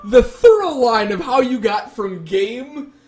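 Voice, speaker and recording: A man with a fairly high voice talks as a panelist on a casual debate show. He builds wordy arguments with plenty of hedging along the way. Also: sing-song, slow, darkish tone. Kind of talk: casual pop-culture debate.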